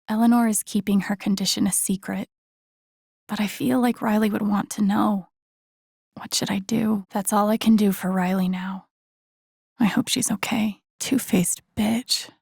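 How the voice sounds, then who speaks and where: A young woman as a video game protagonist, thinking aloud to herself. Her mid-pitched voice has plenty of gravelly fry, and the sound is close and dry. She is casual, wry and empathetic, keeping things understated. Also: darkish tone, no echo. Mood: concerned. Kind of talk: understated video game voice acting, interior monologue.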